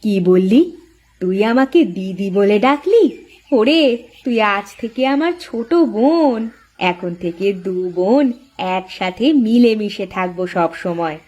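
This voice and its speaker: A woman's voice: mid-range voice, lively intonation, unhurried, dark tone. A woman voicing a protective, hardworking elder co-wife in a village cartoon, speaking in a firm, motherly, advising way. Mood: surprised, accepting. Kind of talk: cartoon voice acting.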